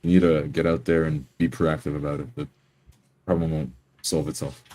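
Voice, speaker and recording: A man playing a tabletop game, improvising his character's words and actions in measured, slightly formal wording. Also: extremely low pitch, flat delivery, darkish tone.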